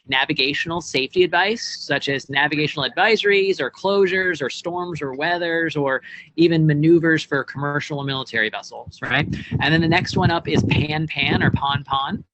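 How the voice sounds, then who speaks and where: A man's voice: a little high, bright, forceful tone, slight background noise, low-quality recording. A young American man giving casual, informal explanations as a guest expert, often checking in with tag questions. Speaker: boat club operations director, guest expert. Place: outdoors on a marina dock.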